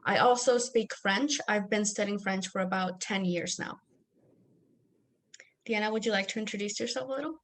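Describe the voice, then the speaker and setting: A young woman from Mexico, a native Spanish speaker, giving an online workshop as an academic coach in a friendly, slightly halting way. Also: mid-range voice. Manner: friendly, lightly halting with fillers.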